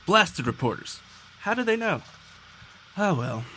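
A man's voice: sits high, big pitch swings, a little slow, sharp tone, a little hiss or hum, full character voice. An actor playing a mild, avuncular, slightly flustered father and district attorney in an old-time radio drama parody. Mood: mild bemusement. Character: mild fatherly district attorney, teen sleuth's indulgent dad.

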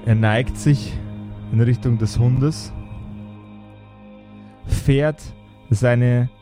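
A man narrating an improvised tabletop game as game master, in measured, suspenseful phrases. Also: mid-range voice, steady tone. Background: background music.

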